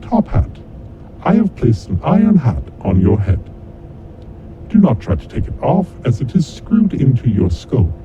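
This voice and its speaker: A man acts out a menacing male kidnapper from a horror audio drama, cold and vengeful. He delivers his rules with theatrical, deliberate formality, his mid-pitched voice rising and plunging dramatically. The recording is dull and lo-fi, with clear rumbling noise behind him. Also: wildly swooping pitch. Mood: menacing, taunting. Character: menacing male kidnapper mastermind, coldly vengeful game announcer.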